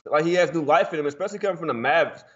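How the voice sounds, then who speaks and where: Fast-talking man in slangy betting banter. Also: fairly high pitch, fairly bright tone, highs cut off. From Portland, Oregon. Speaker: sports betting show host. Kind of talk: casual sports betting chat.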